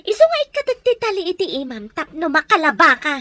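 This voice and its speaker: A bossy, cruel villainess in a radio drama, played by a woman. Her piercingly high voice swoops with scorn as she gives orders. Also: huge pitch swings, quick pace, pushed and bright, dry recording, full character voice. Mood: bossy, menacing. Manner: scornful, commanding. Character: cruel, bossy female villain holding a captive. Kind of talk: Ilocano radio drama acting.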